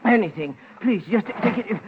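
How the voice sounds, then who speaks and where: A man's voice: high voice, lively intonation, quick pace. An actor in a 1950s radio drama playing a timid, desperate little man who stammers and pleads. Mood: nervous pleading. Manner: stammering, pleading, repeating himself. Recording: tinny, hissy 1950s radio recording.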